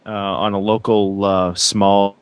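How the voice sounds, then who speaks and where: Low-voiced guy in his 30s, radio dj on a podcast, lots of uhs and restarts, just chatting. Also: flat delivery, takes it slowly, clear, resonant tone, no echo. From Massachusetts. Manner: rambling, many fillers and restarts. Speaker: radio DJ guest. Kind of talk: casual interview chat.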